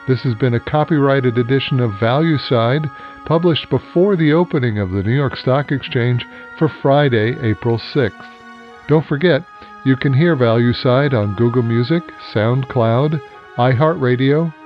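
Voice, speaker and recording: Guy reading scripted market news, measured and formal, mid pitch, dull lofi audio. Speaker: host of a financial program. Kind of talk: scripted financial news narration.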